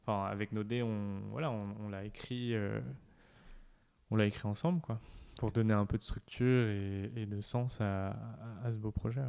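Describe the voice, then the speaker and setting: French guy in his thirties, low smooth voice, halting casual chat full of fillers. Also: steady tone, a little mellow. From France. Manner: hesitant, many fillers and restarts. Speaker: podcast guest.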